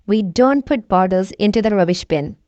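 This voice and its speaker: A woman voicing a gentle, encouraging mother pig who instructs her children in a storybook. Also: mid-range voice, animated, normal speed, no room sound, dull audio, close to an everyday voice. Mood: instructive.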